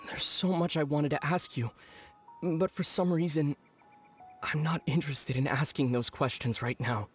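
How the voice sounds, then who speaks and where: A young man playing an earnest, yearning brother and traveler in a video game, speaking in a hesitant, halting way. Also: on the high side, steady tone, natural voice. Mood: yearning, hurt. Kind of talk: scripted video game acting. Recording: music behind the voice.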